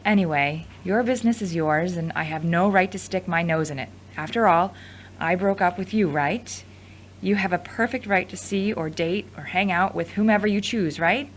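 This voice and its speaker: A woman's voice: lowish voice, normal speed, slight background noise, natural voice, poor audio. A woman playing a frank, supportive ex-girlfriend in a conversational audio drama. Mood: apologetic, teasing. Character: frank, teasing, supportive ex-girlfriend and studio manager.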